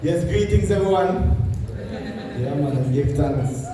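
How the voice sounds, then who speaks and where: A man's voice: a little high, unhurried, noisy background. A man with a Jamaican accent giving a conversational motivational seminar, checking in with his listeners. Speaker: guest speaker, financial advisor. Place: large echoey indoor hall. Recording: distant mic in very echoey hall.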